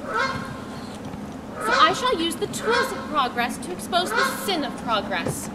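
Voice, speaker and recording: A woman's voice: very high voice, sing-song, takes it slowly, full character voice. A woman reading the part of a proud, prickly male nature writer in an amateur dramatic reading, emphatic and indignant. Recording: outdoor traffic noise behind. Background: traffic noise.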